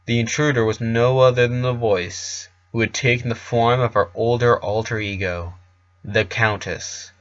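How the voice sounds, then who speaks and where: A man's voice: on the low side, dull audio. A man reading scripted narration as the storyteller of a fictional tale.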